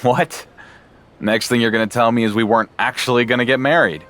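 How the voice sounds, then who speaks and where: A man's voice: mid-range voice, fairly even intonation, brisk, a bit bright, faint music behind, natural voice. A man playing a sarcastic young wrestling champion. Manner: dry, sarcastic.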